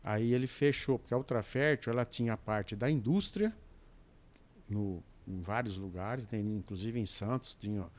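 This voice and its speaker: A man's voice: fairly low pitch, normal speed, darkish tone. Older Brazilian man telling rambling stories. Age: 60s. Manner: rambling, restarts often, adds asides. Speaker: podcast guest, businessman and dispatcher. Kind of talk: relaxed storytelling in a chat.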